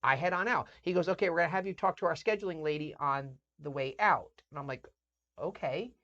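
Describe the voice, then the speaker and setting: A woman pastor co-hosting a casual podcast, animated as she acts out both sides of her conversations. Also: low-pitched, fairly quick. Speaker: pastor and co-host. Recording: dull lo-fi podcast audio.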